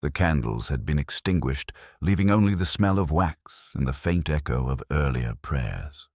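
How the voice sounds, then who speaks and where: A man playing a melancholy police detective, narrating a mystery in a measured, literary, reflective way. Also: rumbling low, animated, darkish tone, no echo, clean studio sound, full character voice. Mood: somber, observant. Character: brooding, melancholy male police detective narrating his case. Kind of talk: scripted first-person mystery narration.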